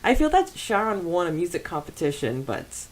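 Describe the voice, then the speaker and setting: A woman's voice: lowish voice, very animated, normal speed, poor audio. A woman co-hosting a casual fan podcast, chatty and often laughing as she talks. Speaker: podcast co-host.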